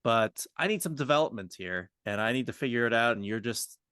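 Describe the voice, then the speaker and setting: A man playing a frustrated employee in a workplace roleplay, complaining haltingly and insistently to his boss. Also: mid-range voice, expressive, a bit bright, clean tone, dry recording, clean studio sound, lightly acted.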